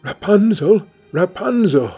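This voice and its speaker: A man's voice: high-pitched, lively intonation, slow pace, warm tone, husky, soft background music, voice pushed up, exaggerated voice. A man voicing a bold young king's son in a storybook, romantic and declamatory. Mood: calling out. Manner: calling out, declamatory. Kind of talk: storybook character voice.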